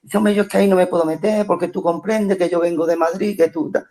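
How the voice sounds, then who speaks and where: A man from Spain calls into an online audio discussion with a voice pitched somewhat high. He tells his story in an animated way, often stumbling and restarting his words. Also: fast talker. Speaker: union member caller. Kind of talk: casual call-in comment in an audio space.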